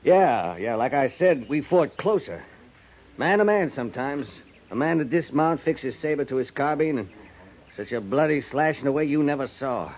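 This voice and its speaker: An actor playing a middle-aged American cavalry veteran in a 1950s radio western, folksy, rambling and quietly troubled. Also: male voice, medium pitch, lively intonation, normal speed, lightly acted. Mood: grim, boastful. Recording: dull, noisy 1950s radio transcription.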